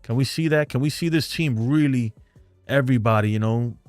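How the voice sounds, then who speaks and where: A man's voice: medium pitch, brisk, a little mellow, dry recording, soft background music. A man with a New York accent hosting a fan live stream, chatting loosely and rambling as he answers viewer comments. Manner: rambling, talkative, loose and frank.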